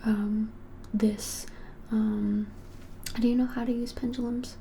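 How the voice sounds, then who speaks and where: A young woman whispers an ASMR shop roleplay in a mellow, slightly creaky voice. Her delivery is even and unhurried, with small hesitations, and a noticeable hiss sits behind her. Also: on the high side. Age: 20s. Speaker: ASMR creator playing a witch shop keeper. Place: small plain-walled room, indoors.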